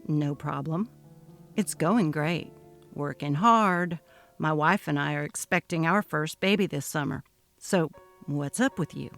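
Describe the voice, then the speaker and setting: An easygoing young man played by a woman in a dramatically read story, pitched down low. He is folksy and confiding, unhurried in his talk, with faint music behind. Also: fairly bright tone. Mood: friendly, relaxed. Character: easygoing young married man, gossipy old flame, bitter about stepsister. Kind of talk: dramatic reading of a text-message story.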